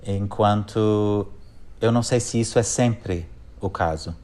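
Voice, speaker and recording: A middle-aged Englishman with a fairly low voice answers interview questions in a second language. He speaks in a thoughtful, measured way, often pausing to restart a phrase as he searches for the right words. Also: mellow. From England. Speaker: guest, conflict mediator.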